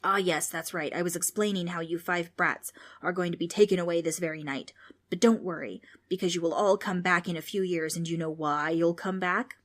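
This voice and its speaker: Woman doing a cranky old male ghost, bossy, theatrical, storybook spook, lowish voice. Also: steady tone, fairly quick, natural voice. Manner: bossy, irritable, grandly spooky.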